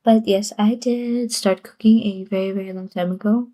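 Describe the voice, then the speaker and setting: A woman's voice: medium pitch, fairly even intonation, moderate pace, warm tone. A woman streamer chatting casually on a livestream, rambling and giggling often between sentences.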